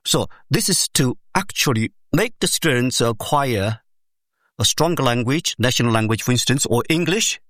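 Man, second-language English, researcher explaining step by step, lively rising and falling pitch, dry close sound, frequent pauses. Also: no echo. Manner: speaks English as a second language, with small grammar slips. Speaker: education researcher, interview guest. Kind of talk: explanatory academic interview answer.

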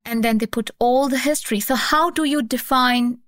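Woman from Pakistan, researcher; earnest, explanatory, fairly high voice, dry close mic. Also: normal speed, a bit bright, dry recording. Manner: earnest, explanatory, repeats phrases. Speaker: researcher, guest. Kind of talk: academic conversation.

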